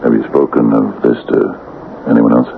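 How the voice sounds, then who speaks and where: An actor playing a troubled, conflicted townsman in a radio drama, speaking haltingly with a gravelly voice. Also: rumbling low, steady tone, full character voice. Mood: weary, pressed. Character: troubled townsman reluctantly giving in to a murder plot. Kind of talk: 1970s radio drama acting. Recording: tinny, noisy old radio broadcast.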